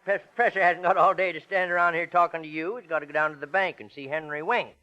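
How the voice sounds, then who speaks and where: An actor playing a hurried, folksy small-town father in 1940s radio drama style. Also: fairly high pitch, fairly even intonation, sharp tone. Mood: bustling, proud. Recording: muffled old radio broadcast.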